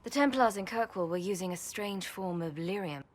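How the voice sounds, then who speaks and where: An actress playing a weary, modest champion in a fantasy video game, measured and reflective. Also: female voice, medium pitch, natural voice. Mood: grave, informative. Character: weary, modest female champion, sober and helpful. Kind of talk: video game dialogue acting.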